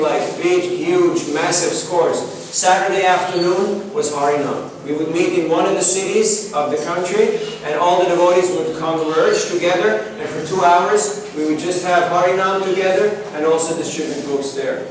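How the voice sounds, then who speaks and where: A man leading an informal seminar in a conversational, encouraging manner. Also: a little high. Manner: encouraging, conversational, acts out short dialogues. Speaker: course leader, Hare Krishna teacher. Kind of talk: informal seminar teaching to a group. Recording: echoey room, distant mic, noisy.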